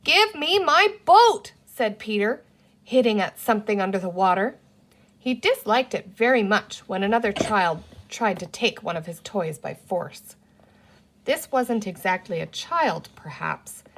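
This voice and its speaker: A woman's voice: medium pitch, unhurried, rough recording. A woman reading a children's book aloud as the narrator of the story. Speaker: storybook reader.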